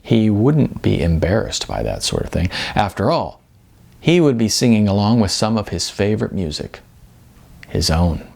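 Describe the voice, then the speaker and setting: A man narrates fiction as an audiobook with a low voice and frequent fry. His delivery is lively in pitch, conversational and wry. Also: normal speed.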